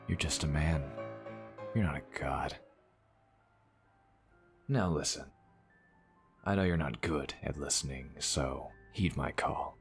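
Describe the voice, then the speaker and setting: An actor in an audio drama playing a vengeful rebel assassin, slow, ominous and full of cold contempt. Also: male voice, rumbling low, vocal fry, exaggerated voice. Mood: menacing contempt. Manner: slow, deliberate, ominous, taunting. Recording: dramatic music behind the voice. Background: music.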